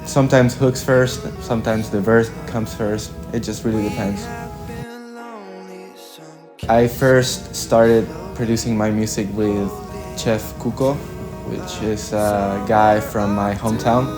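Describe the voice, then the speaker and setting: Low-voiced young man. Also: steady tone, music in the background. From Chile. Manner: reflective, halting, with frequent "like" and "kinda". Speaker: musician being interviewed. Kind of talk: casual interview answers.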